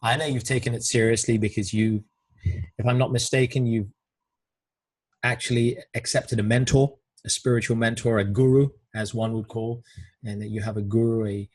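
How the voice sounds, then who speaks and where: A man's voice: mid-range voice, level tone, normal speed, darkish tone, rough recording. A male host asking long, rambling questions in a jovial, laughing interview manner. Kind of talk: casual interview talk.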